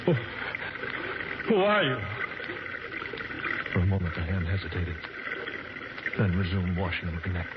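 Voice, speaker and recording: A man's voice: low-pitched, big pitch swings, measured pace, pauses often, very noisy. An actor playing a shaken, wounded man who narrates his ordeal in urgent, dramatic 1940s radio style. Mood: pleading. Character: shaken, wounded grown man, intense storyteller. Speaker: first-person narrator. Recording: dull old radio recording with loud music.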